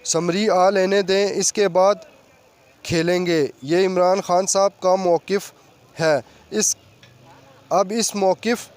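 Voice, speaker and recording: High-pitched, flat-toned male news commentator. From Pakistan.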